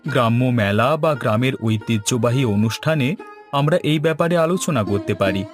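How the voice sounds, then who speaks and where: A man's voice: mid-range voice, normal speed, music in the background, close to an everyday voice. A man voicing an earnest, agreeable younger village man eager to help, in scripted cartoon dialogue. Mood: eager, concerned.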